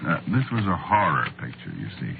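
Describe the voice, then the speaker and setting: An American actor playing a wry, put-upon screenwriter in a 1940s radio drama, talking in a halting, conversational, sardonic way. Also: male voice, very deep voice, sing-song, gravelly, heavily acted. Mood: wry, confiding. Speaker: narrator and lead actor. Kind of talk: 1940s radio acting, conversational first-person narration. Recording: muffled, noisy old radio recording.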